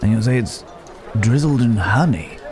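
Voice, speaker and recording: An actor playing a lonely, courteous werewolf traveller in a fantasy ASMR roleplay, musing hesitantly in old-fashioned phrasing. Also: male voice, mid-range voice, expressive, normal speed, natural voice. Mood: curious, delighted. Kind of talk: immersive ASMR roleplay, improvised-sounding monologue. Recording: outdoor market crowd noise behind voice. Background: bustling village market ambience.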